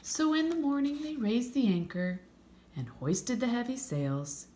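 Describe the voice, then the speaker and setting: A woman reading a children's story aloud with expressive, dramatic narration and drawn-out emphasis. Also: fairly high pitch. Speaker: storybook reader. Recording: echoey room, faint background noise.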